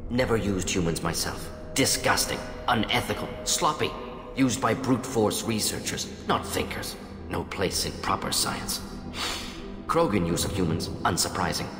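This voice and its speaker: Game role: clinical, opinionated alien scientist. Low register, terse analytical fragments, heavy hall reverb. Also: male voice, moderate pace, a bit bright. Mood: disdainful, principled. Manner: clipped telegraphic sentences, dropping small words, analytical. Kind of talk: video game character acting. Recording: echoey hall reverb, music behind. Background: game music.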